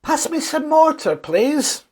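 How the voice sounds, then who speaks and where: A man's voice: extremely high pitch, very animated, slow, darkish tone, voice pushed up, exaggerated voice. A tall, courteous storybook builder, acted by a man, calling out politely to his workmates. He stretches his words in a raised, lilting voice with plenty of swoop.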